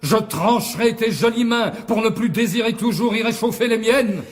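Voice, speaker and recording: An actor playing a wily, self-important stage actor in a French radio drama, with hesitant, theatrical delivery. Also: male voice, very high-pitched, normal speed. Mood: theatrical, passionate.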